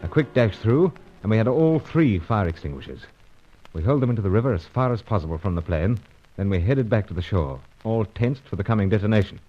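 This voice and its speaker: An actor playing a cool, gentlemanly British secret agent who speaks and narrates with urbane, clipped manners in an old-time radio serial. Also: male voice, lowish voice, lively intonation, brisk, soft background music. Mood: tense narrating. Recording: dull lo-fi old radio recording.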